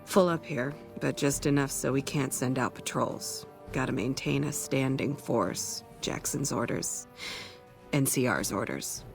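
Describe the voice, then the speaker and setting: An actor playing a weary, blunt ranger sniper in a video game, with dry, sardonic delivery. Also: male voice, mid-range voice, measured pace, creaky, faint music behind. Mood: jaded, sardonic. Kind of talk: video game dialogue acting.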